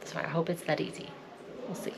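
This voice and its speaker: A woman vlogger speaking candidly to camera, upset and anxious, with hesitant pauses. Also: heavy background noise. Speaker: travel vlogger. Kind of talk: candid vlog talk to camera.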